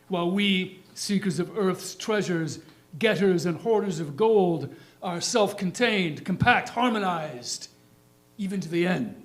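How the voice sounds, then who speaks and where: A man playing a proud dead small-town gentleman, reciting an epitaph in a measured, declamatory reader's theatre style. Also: sits high. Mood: smug, scornful. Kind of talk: dramatic monologue, staged poetry reading.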